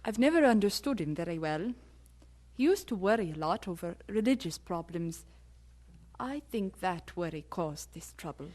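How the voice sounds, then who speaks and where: A woman's voice: lowish voice, normal speed. An actress playing a worried middle-aged mother, puzzled and fretful, in an audio drama. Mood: troubled. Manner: concerned, expressive.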